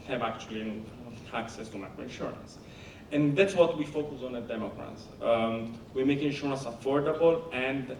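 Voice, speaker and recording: An Italian-accented man answers on a conference panel, stopping and restarting often with plenty of fillers. His voice sits at a middling pitch and is picked up from a distance in an echoey hall. A steady low rumble runs underneath. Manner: halting, many fillers and restarts. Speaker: panelist, company founder.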